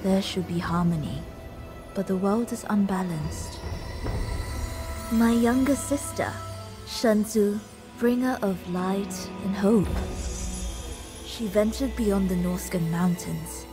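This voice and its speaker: An actress playing an ancient, imperious storm dragon in a game cinematic, solemn and commanding. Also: female voice, medium pitch, slow pace, long pauses, over music, lightly acted. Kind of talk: dramatic game cinematic acting.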